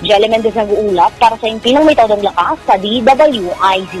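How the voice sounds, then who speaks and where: A male radio reporter giving a brisk, formal news report that ends with a sign-off tagline. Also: high voice, faint music behind. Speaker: field reporter.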